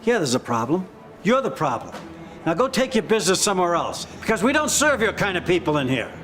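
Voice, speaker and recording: An actor playing a hostile, bigoted bakery boss in a moralistic web drama, confrontational and barking orders. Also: male voice, high-pitched, sing-song, a little fast, fairly bright tone. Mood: hostile, bullying.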